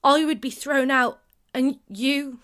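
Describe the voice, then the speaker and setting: A woman's voice: high voice, steady tone, slow pace, a bit bright. A woman playing a troubled, blunt young fighter in a naturalistic audio drama, hesitant and sardonic. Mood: bitter.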